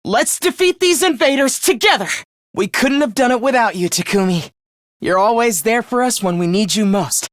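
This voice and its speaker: A man playing an earnest, caring teenage student leader in an anime-style video game, with a youthful, expressive voice and polite delivery. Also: very high voice, normal speed, dry recording, clean studio sound. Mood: relieved, warm. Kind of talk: animated game voice lines, anime-style dub acting.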